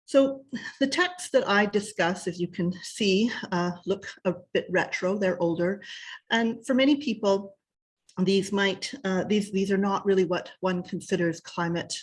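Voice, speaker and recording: A woman academic on a video call giving a measured, formal presentation as a panelist. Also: mid-range voice, breathy edge.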